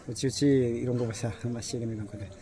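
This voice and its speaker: Brief: male interviewer, quick and brisk, mellow dark tone, says "acha" a lot, faint outdoor noise. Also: mid-range voice, fairly even intonation. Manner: brisk questioning, frequent "acha". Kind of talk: asking interview questions. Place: outdoors by a rural fish pond.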